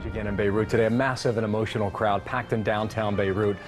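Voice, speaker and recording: A woman's voice: very deep voice, fairly even intonation, quick pace. A woman delivering a broadcast news report as a reporter. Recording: music under the voice. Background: music.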